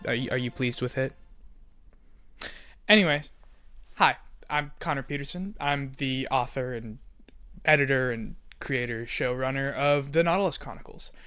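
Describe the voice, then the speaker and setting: A man's voice: medium pitch, normal speed, a bit bright, smooth, dry recording, soft background music. A man hosting and explaining to listeners in a conversational, playful way with theatrical emphasis. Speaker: host, writer and creator of an audio drama.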